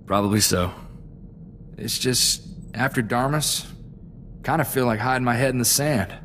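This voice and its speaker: A man's voice: mid-range voice, moderate pace, a bit bright, slight background noise, lightly acted. A man playing an earnest, loyal young sidekick in a space-adventure game, talking in a plainspoken, folksy, reflective way. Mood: humble, sincere. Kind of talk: video game cutscene dialogue.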